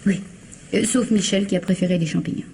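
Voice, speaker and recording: A woman's voice: mid-range voice, fairly quick, dark tone, slight background noise, close to an everyday voice. A woman playing a guarded, formal, evasive sister of a victim in a radio mystery drama.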